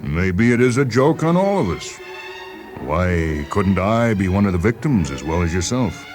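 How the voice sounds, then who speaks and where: A man playing a calm, reasoning doctor in a dramatized story, measured, hesitant and placating. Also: low-pitched, music in the background. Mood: soothing. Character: calm, reasoning male doctor humoring a troubled patient. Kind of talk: dramatized short story reading.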